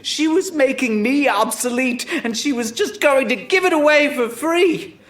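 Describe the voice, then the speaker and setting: An actor playing a guilty, greedy male scientist coming apart, stammering through a halting, manic confession in an audio drama. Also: high-pitched, a bit bright, reverberant. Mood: bitter.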